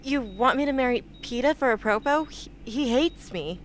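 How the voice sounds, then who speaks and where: A woman's voice: a little high, animated, moderate pace, natural voice. A woman playing a wounded, earnest and stubborn teenage girl rebel in an amateur radio drama. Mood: guarded. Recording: noisy amateur radio play recording.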